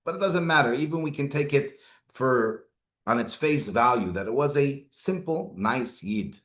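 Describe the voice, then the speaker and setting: A man's voice: mid-range voice, animated, moderate pace, a bit bright, roomy sound. A man giving a Torah lecture as a rabbi, asking rhetorical questions and mixing Hebrew and Yiddish terms into his English. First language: not Hebrew. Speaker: rabbi teaching.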